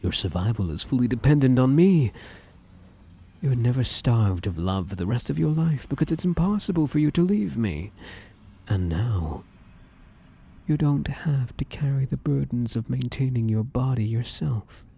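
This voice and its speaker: A man playing a possessive, domineering living shadow in an intimate ASMR roleplay, coaxing and commanding in a breathy, husky voice. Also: medium pitch, expressive, moderate pace, dark tone. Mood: possessive, adoring. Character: possessive, domineering living shadow, male, obsessively devoted lover.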